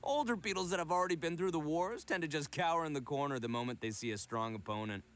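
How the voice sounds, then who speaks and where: Man as a brash, high-pitched, boastful young hothead. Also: fast talker, fairly bright tone, close to an everyday voice. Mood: reassuring, confident. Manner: bragging, theatrical, slangy. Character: brash, boastful young man, goofy self-proclaimed beetle expert. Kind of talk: anime game voice acting.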